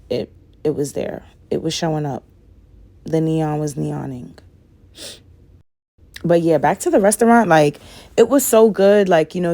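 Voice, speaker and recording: A young woman, a little raspy from a cold, chatting loosely through a nail tutorial and saying "like" and "guys" a lot. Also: fairly low pitch, dark tone. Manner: rambling, says "like" and "guys" a lot. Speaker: nail vlogger. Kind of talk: casual chatty tutorial voice-over.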